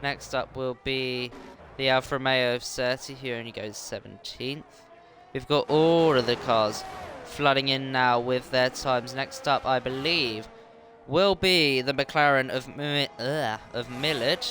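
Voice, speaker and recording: A man's voice: medium pitch, a little slow, slight background noise. Man commentating sim racing, voice worn out. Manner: steady play-by-play with frequent ums. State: worn, tired voice. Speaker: race commentator.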